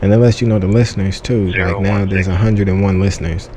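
A man's voice: deep voice, fairly even intonation, brisk. A man giving a casual, unscripted app review in a slangy, joking, offhand way. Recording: clearly noisy background.

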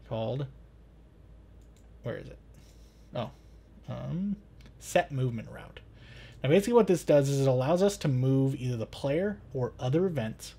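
A man's voice: medium pitch, dark tone. A man in his thirties hosting a tutorial, talking casually through each step. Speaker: tutorial host.

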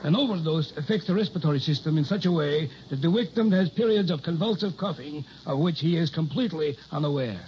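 A man acting a worried, secretive older scientist in a 1960s radio drama, speaking in a measured, formal, solemn way. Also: fairly high pitch, close to an everyday voice. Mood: grave, confessing. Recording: muffled, noisy old radio recording.

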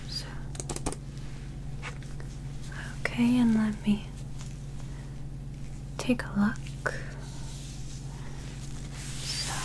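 A young woman playing a calm, caring alien physician in a whispered, gentle ASMR medical roleplay, close to the microphone over background noise. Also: on the high side, low-quality recording. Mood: focused, clinical. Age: young adult. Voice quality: whispery, soft. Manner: slow, gentle, clinical, deliberate.

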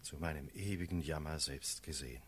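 Very deep, grave officer. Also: male voice, fairly bright tone, a little hiss or hum. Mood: solemn, grave. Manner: solemn, measured declamation. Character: grave cavalry officer reporting a lord's fall in battle. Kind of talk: classical German radio play verse acting.

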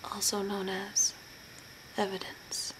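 A young woman playing an eerie, soothing zombie-makeover artist in a soft, breathy ASMR whisper, slow and deliberate. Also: medium pitch, monotone, natural voice. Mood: hushed, eerie. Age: young adult. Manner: slow, hushed, deliberate, spooky. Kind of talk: whispered ASMR role play. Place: small room at home by a window. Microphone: close camera at arm's length. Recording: noisy home recording with ambience.

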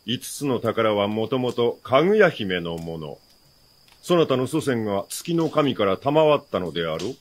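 A man's voice: mid-range voice, sing-song, normal speed, lightly acted. An actor playing a smug, all-knowing nobleman villain with formal, archaic, courtly speech in a radio drama. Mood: lordly, knowing.

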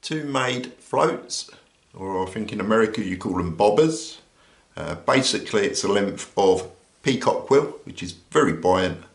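An older British man with an easygoing manner, explaining a survival kit item by item while pausing now and then. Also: lowish voice, vocal fry, echoey room. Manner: relaxed, explanatory, with frequent "uh" pauses. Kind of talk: casual show-and-tell demonstration. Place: indoors at a table. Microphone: overhead camera on hands, speaker off frame.

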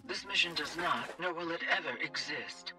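An actress playing a mysterious, composed secret agent delivering a formal, deliberate recruitment message in a game cutscene. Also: female voice, low voice, distant mic, music in the background, close to an everyday voice. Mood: cool, persuasive.

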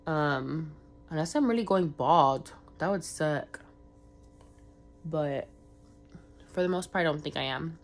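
Slow, congested young woman vlogging. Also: sits low. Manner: rambling, filler-heavy, chatty. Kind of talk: casual rambling vlog.

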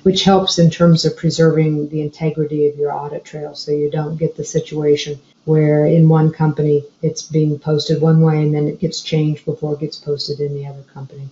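A woman explaining software step by step as a trainer narrating a screencast tutorial. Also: deep voice, level tone, normal speed, hardly any pauses. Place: indoor room, screen recording voice-over. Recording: dull, roomy sound.

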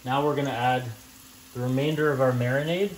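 Relaxed young man teaching a home recipe. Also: mid-range voice, brisk, a little hiss or hum, low-quality recording. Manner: relaxed and plain-spoken, with frequent "uh" and "um" fillers and small self-corrections. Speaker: home cooking channel host. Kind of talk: step-by-step cooking instruction. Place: home kitchen at the stove.

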